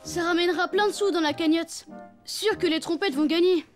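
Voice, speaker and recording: An eager young cartoon child. The voice is extremely high and earnest, slightly anxious, with faint music behind it. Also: female voice, fairly quick. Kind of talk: French children's cartoon voice acting.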